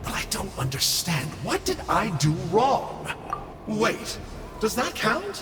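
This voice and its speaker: A man's voice: sits high, wildly swooping pitch, slow, background noise, exaggerated voice. A man voicing a flippant, bookish, cowardly demon mage in a video game, theatrical and wisecracking. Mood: mock self-pity. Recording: long cavernous reverb over music.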